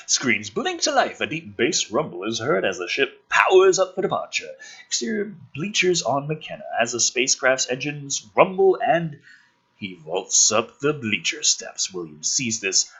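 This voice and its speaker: Animated, raspy male commentator. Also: mid-range voice, rough recording. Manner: sweary, sarcastic, informal. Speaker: host of a script-reading and review show. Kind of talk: ranting commentary mixed with dramatic script reading.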